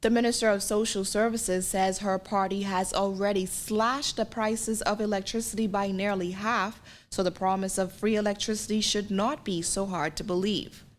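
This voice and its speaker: A female reporter narrates a scripted news story. Her pitch is average for a woman, and a faint noise sits behind the voice. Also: fairly even intonation, moderate pace, hardly any pauses.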